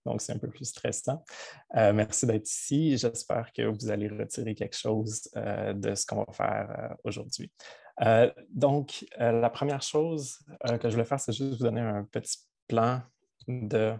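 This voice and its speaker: A man's voice: mid-range voice, fairly even intonation, measured pace, gravelly, dark tone, dry recording. A man with a Québécois accent, a college physics teacher giving a webinar presentation over a video call, hesitant with many fillers. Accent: Québécois French. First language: French.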